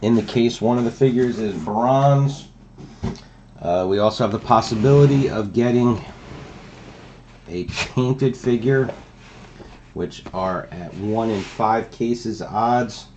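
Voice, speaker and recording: A man hosting a trading card break, hoarse from a cold, explaining the set in a relaxed way with many fillers. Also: mid-range voice, slow, pauses often, dull audio, slight background noise. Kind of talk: casual card-opening commentary. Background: crinkling wrappers and clicks.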